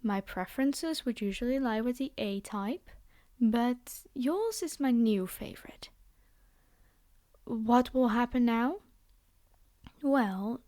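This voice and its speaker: A woman's voice: fairly high pitch, dark tone, dry recording, clean studio sound, natural voice. A woman playing a haughty, possessive vampire noblewoman in an ASMR fantasy roleplay, speaking in a theatrical, condescending and formal way. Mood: fascinated. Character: haughty, possessive vampire noblewoman, polite yet menacing captor.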